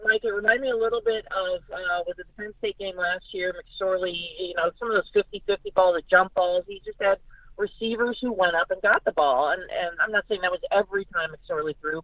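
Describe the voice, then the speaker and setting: A woman's voice: medium pitch, steady tone, a little fast, no room sound. An American woman giving casual, emphatic sports analysis as a guest reporter, often repeating words for emphasis. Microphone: phone call. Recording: thin, narrow phone-line sound.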